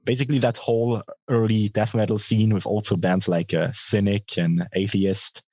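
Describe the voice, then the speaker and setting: Low husky man with a bass voice. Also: level tone, moderate pace, dark tone, no room sound. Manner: thoughtful, hesitant with many fillers. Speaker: guitarist and vocalist, guest. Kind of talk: casual interview answers.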